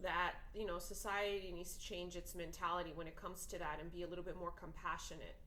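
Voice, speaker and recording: A woman's voice: on the low side, flat delivery, brisk, bright, forceful tone. Earnest woman from Portugal, echoey room. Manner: earnest, emphatic, builds long arguments. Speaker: podcast host. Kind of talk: casual conversation. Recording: echoey room with faint noise.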